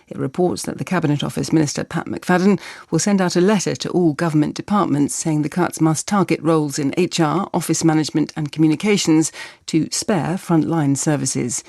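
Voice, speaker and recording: Creaky-voiced British woman newsreader. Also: deep voice, dark tone, dry recording, studio quality. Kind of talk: formal scripted news bulletin. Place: dry broadcast radio studio.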